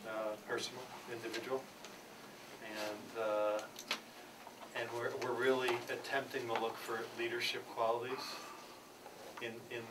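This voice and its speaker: A man presenting a peace program at a conference, speaking haltingly with many fillers and restarts. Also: mid-range voice, little pitch movement. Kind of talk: conference panel talk. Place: church conference hall. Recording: distant, noisy conference recording.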